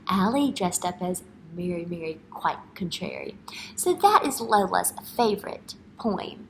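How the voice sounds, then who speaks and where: Unhurried woman teacher reading to young children. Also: lowish voice, background noise. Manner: instructional, expressive, checks understanding with questions and "right?". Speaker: early-grade teacher. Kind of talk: picture book read-aloud with questions to young children. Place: indoor school classroom.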